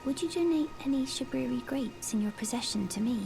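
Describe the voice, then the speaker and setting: A woman voicing a gentle, frail young maiden in a fantasy game, soft, breathy and very polite. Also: sits high, fairly quick. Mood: polite, hopeful. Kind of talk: scripted fantasy game dialogue. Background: music.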